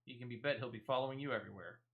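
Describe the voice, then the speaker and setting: A man's voice: medium pitch, racing, gravelly, phone-quality sound, close to an everyday voice. A man voicing a defensive, wheedling woman animal smuggler from a video game, in rustic speech with dropped letters. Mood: grudging, sly. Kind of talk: video game dialogue read aloud.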